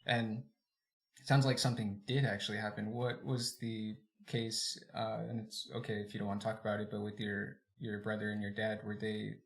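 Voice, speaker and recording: Low-voiced man hosting a podcast. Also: level tone, moderate pace, rough recording. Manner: friendly, hesitant, many "um"s. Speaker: podcast host and interviewer. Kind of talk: casual podcast interview.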